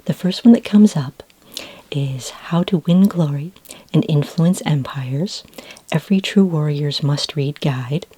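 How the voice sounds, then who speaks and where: Soft breathy, low-pitched librarian played by a woman. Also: no echo, rough recording. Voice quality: soft, breathy, half-whispered. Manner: gentle, hesitant, unhurried, helpful. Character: friendly, helpful female futuristic library clerk for aliens. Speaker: ASMR roleplay performer. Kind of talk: soft-spoken ASMR roleplay.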